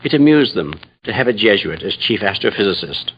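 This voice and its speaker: An actor playing a grave, troubled Jesuit astrophysicist in a radio drama, solemn and measured, with a gravelly, husky voice. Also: male voice, lowish voice, sing-song, muffled recording, a little hiss or hum. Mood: somber. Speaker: dramatic narrator. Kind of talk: first-person radio drama monologue.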